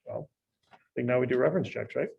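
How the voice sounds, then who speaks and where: A man's voice: mid-range voice, quick pace, gravelly, husky, dull audio. A man speaking as a town official in a public meeting, summing up documents. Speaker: town official at a bid opening.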